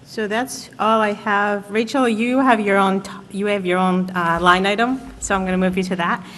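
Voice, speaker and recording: A woman chairing a school board meeting, running the agenda in a brisk, procedural way. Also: medium pitch, fairly even intonation, a bit bright. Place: town meeting room. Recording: reverberant meeting room with faint noise.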